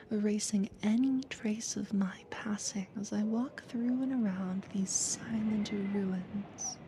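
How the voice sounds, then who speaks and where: A woman playing a calm, quietly controlling therapist in a slow, breathy, whispered ASMR hypnosis roleplay, soothing yet ominous. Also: medium pitch, background noise, natural voice.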